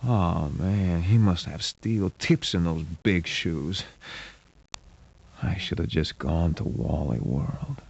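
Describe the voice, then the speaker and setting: An actor playing a wisecracking, streetwise private investigator in a video game, with wry, casual, slangy delivery. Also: male voice, slow, vocal fry, darkish tone, exaggerated voice. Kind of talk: video game hero acting. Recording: dull, hissy low-fidelity game audio.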